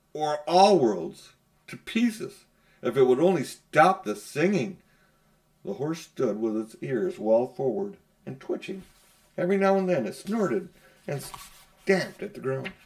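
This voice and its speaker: A middle-aged man reads a children's story aloud at home. His voice has a creaky, gravelly grain and sits at an ordinary male pitch. He tells it in measured phrases, leaning on the dramatic words. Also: a little mellow. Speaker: audiobook reader. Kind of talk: reading a children's novel aloud. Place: small room at home.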